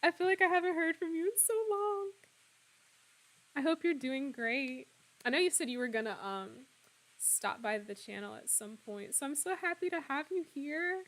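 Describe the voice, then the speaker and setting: A woman in her twenties, slightly hoarse, chatting casually and laughing often as she streams a game and answers her viewers. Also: high voice, normal speed, a bit bright. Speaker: streamer playing a horror game. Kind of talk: casual live-stream chat, reading out viewer comments.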